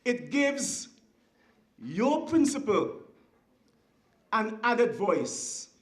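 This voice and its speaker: A speaker with a Kittitian accent giving a formal ceremonial speech in a deliberate, oratorical way, with emphatic short phrases. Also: mid-range voice, sing-song. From Saint Kitts and Nevis. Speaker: guest speaker at a school ceremony. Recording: echoey venue sound.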